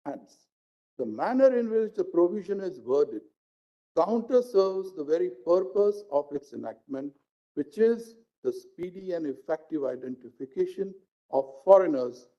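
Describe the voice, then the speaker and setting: Very mellow Indian male judge reading formally. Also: high voice, lively intonation, measured pace. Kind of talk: formal reading of a legal judgment. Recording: dull lo-fi live stream audio.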